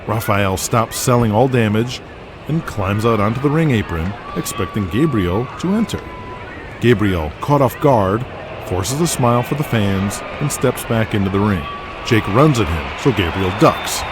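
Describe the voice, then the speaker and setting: A man's voice: lowish voice, expressive, measured pace, noisy background. A man narrating a scripted audio drama as a dramatic storyteller. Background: music.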